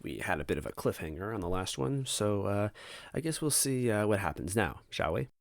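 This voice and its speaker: A man's voice: fairly low pitch, very animated, creaky, no echo. A man hosting a reading series, talking casually to listeners in a rambling, hesitant way and often interrupting himself. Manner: hesitant, halting, self-interrupting. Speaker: host of a fan fiction reading series.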